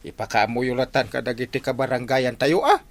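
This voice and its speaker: Animated, impatient village man, played by an actor. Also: mid-range voice, fairly quick. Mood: reluctant. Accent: Ilocano Filipino. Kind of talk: Ilocano radio comedy drama acting.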